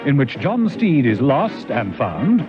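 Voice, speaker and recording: A suspense serial storyteller. He reads scripted narration with lively, dramatic intonation at a middling pitch. Music plays behind, and the vintage radio recording is slightly dull. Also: slow pace. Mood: suspenseful.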